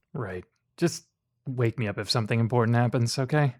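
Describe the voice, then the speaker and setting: A man acting as a police officer on a slow night patrol in an audio drama. He is low-key and casual, with a steady manner and a middling pitch. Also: natural voice. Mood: bored, casual.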